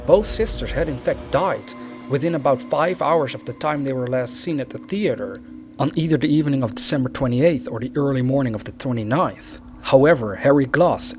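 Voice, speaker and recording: A man reading a scripted true crime narration as the narrator of a video. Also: mid-range voice. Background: background music.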